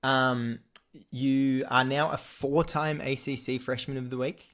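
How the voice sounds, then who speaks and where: A young Australian man hosting a casual sports interview, talking in a relaxed, chatty way with lots of fillers and restarts. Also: medium pitch, a little slow. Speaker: podcast host.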